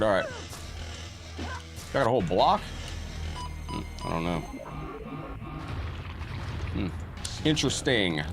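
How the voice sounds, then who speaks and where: A man hosting a live gaming stream, chatting and greeting viewers by name while he plays, his voice a little scratchy from a cold. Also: mid-range voice. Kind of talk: casual gameplay commentary, greeting chat. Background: game music.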